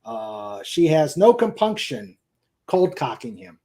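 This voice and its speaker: A man hosts a relaxed live chat in a soft-edged, mellow voice. He speaks slowly and hesitantly, rambling and repeating himself as he reads out comments from viewers. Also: mid-range voice. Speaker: show host. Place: at home in a room with a couch.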